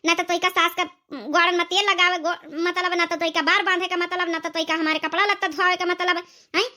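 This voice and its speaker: A woman's voice: very high-pitched, level tone, fairly quick, sharp tone. A woman voicing a scolding, grumbling old village mother-in-law in an Awadhi accent, for a cartoon comedy. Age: older. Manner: nagging, complaining.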